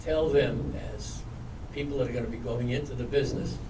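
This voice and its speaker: A man who teaches ethics at a university, speaking loudly and in a friendly way from the audience. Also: medium pitch, fairly even intonation, a little fast, recorded from a distance. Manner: loud, friendly. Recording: echoey hall from afar with noise.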